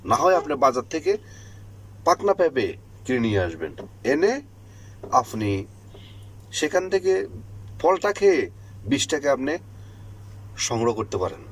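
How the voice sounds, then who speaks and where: A man's voice: mid-range voice, very animated, measured pace, pauses often, slightly rough, rough recording. A man with a Bangladeshi accent giving an informal instructional monologue as an advice host, explaining emphatically and repeating his points. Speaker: health advice channel host.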